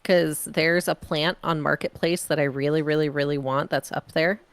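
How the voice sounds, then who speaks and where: A woman from the Pacific Northwest chatting casually over a home voice call, talkative and quick to laugh. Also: low voice, steady tone, clean tone, dry recording. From Pacific Northwest, USA. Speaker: voice actor in a group game playthrough. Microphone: home mic on a voice call.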